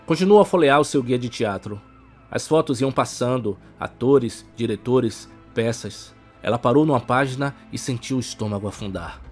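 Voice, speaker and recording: A man's voice: medium pitch, lively intonation, normal speed. Brazilian man narrating suspense over music. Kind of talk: scripted suspense audiobook narration.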